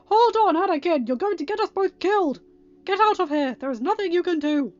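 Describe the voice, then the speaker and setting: A woman's voice: extremely high pitch, lively intonation, a little fast, voice pushed up. A woman voicing an exasperated male Jedi master in a story reading, with a bossy, exclamatory, dry manner. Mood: alarmed, yelling. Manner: exclamatory, bossy, dryly quipping. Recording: dull, band-limited audio with background music.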